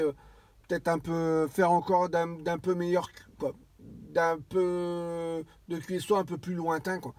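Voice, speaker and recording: A man's voice: fairly high pitch, a little mellow, dry recording. A man chatting casually over CB radio, rambling and hesitant, often repeating himself. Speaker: amateur CB radio operator.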